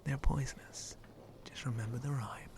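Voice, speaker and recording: A man playing a flirty, roguish shark-man pirate captain in an ASMR roleplay, with a breathy, often whispered voice and teasing pirate speech. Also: steady tone, natural voice. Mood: easygoing, instructive. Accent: put-on pirate speech. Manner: slow, teasing, drawling pirate talk with low chuckles. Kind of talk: ASMR roleplay addressed to the listener.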